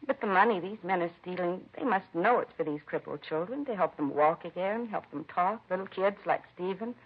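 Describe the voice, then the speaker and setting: A woman's voice: lowish voice, animated, moderate pace, breathy edge. An actress playing an earnest, indignant woman who runs a children's home in a 1950s radio drama, plain-spoken and heartfelt. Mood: bitter, indignant. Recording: dull vintage radio recording.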